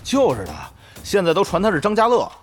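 An outspoken, excitable guild officer in an audio drama. His high voice comes fast, over faint music. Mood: urgent. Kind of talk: Chinese audio drama acting.

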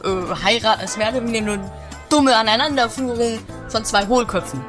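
A man playing a grumpy, banished guru in a comedy radio play, with a halting, dry, grumbling delivery. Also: high voice, over music, close to an everyday voice.

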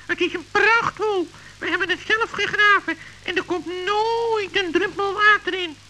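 A woman's voice: very high-pitched, bright, forceful tone, full character voice, rough recording. A woman playing a chatty, wary young rabbit in a children's radio play, with chirpy, emphatic delivery. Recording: hissy old radio recording.

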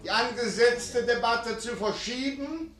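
An actor playing a formal parliamentary speaker, declaiming official announcements in a radio play's echoey chamber. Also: male voice, very high voice, a little slow, a bit bright, recorded from a distance. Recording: echoey, crowded chamber, off-mic.

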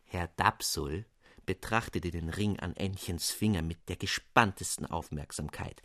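A man narrating a fairy tale in an expressive radio reading. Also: lowish voice, dry recording, clean studio sound. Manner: expressive storytelling.

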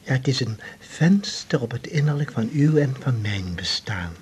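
An actor playing a pompous, pleading disgraced doctor in a radio drama, with a breathy, husky voice and halting solemn phrasing. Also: male voice, mid-range voice, lively intonation, a little mellow, a little hiss or hum, natural voice. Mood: solemn, wheedling. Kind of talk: naturalistic Dutch radio drama acting.

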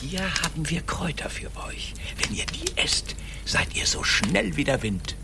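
An actor playing a friendly, earnest god who guides travellers in a radio drama, expressive and at times breathy. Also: male voice, on the high side, moderate pace, very noisy. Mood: kindly.

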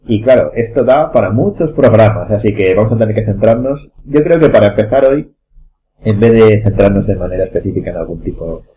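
A man's voice: lowish voice, animated, brisk, highs cut off. A man with an accent from Spain, hosting a casual group podcast conversation. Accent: Spanish, from Spain. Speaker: podcast host and director.

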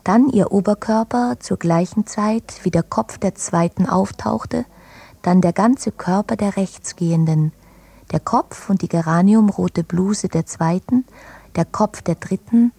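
A woman reading literary prose for a radio play in a measured way, phrase by phrase. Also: mid-range voice, creaky, warm tone, breathy edge, dry recording. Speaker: reader.

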